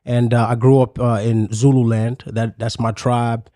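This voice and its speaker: A man from South Africa, a podcast guest, telling his life story in a relaxed, hesitant, reflective way. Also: mid-range voice, normal speed, no room sound. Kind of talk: casual interview.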